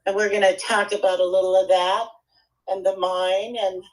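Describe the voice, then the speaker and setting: A woman speaking as a guest in a casual chat, earnest and halting, often repeating her words. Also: medium pitch, flat delivery, rough recording. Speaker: guest speaker on a live audio room.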